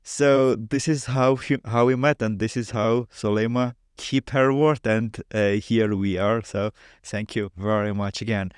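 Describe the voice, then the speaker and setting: A male podcast host whose first language is Armenian, asking questions haltingly and repeating words as he goes. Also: on the low side, steady tone, smooth, no echo, studio quality. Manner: halting, repeats words while asking, non-native grammar. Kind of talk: interview.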